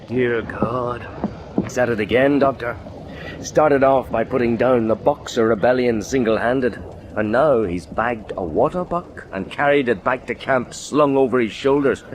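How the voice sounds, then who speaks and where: An actor playing a sceptical, plain-spoken British junior naval officer with a blunt, wry manner. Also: male voice, medium pitch, measured pace, noisy background, close to an everyday voice. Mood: exasperated. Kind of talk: period audio drama acting.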